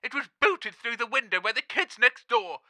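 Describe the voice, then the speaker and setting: A man's voice: extremely high pitch, very animated, normal speed, bright, forceful tone, dry recording, full character voice. A man playing an eccentric radio phone-in caller in a comedy sketch, earnest and rambling. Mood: earnest, fretful.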